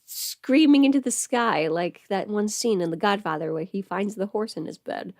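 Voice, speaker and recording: A woman's voice: medium pitch, normal speed, a little mellow, no echo, studio quality. A woman who is sick, chatting casually on a livestream as host, thinking aloud and interrupting herself. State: sick at home with covid. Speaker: livestream host, audio creator. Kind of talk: casual rambling livestream chat. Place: at home.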